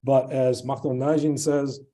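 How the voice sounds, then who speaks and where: A man's voice: medium pitch, little pitch movement, a little slow, dark tone. A man giving an informal presentation as a conservation scientist, speaking in an enthusiastic, conversational way. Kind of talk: informal conference talk to an audience.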